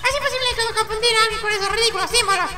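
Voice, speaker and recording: A man's voice: extremely high pitch, steady tone, racing, bright, forceful tone, faint music behind, voice pushed up, exaggerated voice. A man voicing a haughty, impatient young woman prosecutor in a courtroom video game, with imperious, scornful delivery. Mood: impatient, insistent. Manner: imperious, scornful, clipped commands.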